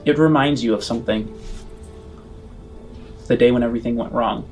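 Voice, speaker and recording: A man acting as game master, improvising scene descriptions in a halting way and rephrasing as he goes. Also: medium pitch, darkish tone, soft background music. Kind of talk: improvised tabletop game narration.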